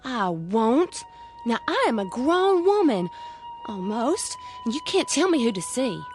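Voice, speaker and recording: A woman's voice: a little high, big pitch swings, unhurried, soft background music. A woman playing a stubborn, nervous young rural American sweetheart in a radio drama. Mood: defiant. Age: young adult.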